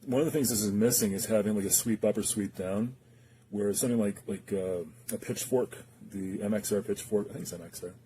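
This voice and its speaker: Low, very dark-voiced man reviewing gear. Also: fairly quick, slight background noise, poor audio. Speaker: guitar pedal reviewer. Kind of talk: casual unscripted product review and demonstration.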